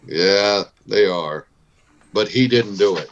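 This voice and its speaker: A man's voice: lowish voice, takes it slowly, highs cut off, background noise. A man playing a weary, steadfast frontier marshal in a recreated vintage radio western, speaking tersely and firmly. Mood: resigned. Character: weary, steadfast male U.S. marshal in an old West town.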